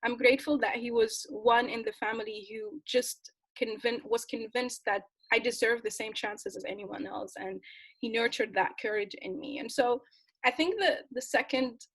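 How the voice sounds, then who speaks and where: A young woman from Tunisia tells her own story as an interview guest, earnest and passionate. Her voice sits a little high for a woman. She often restarts phrases and breaks into soft laughs between thoughts. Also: fairly even intonation, normal speed, breathy edge, poor audio. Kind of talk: candid personal storytelling.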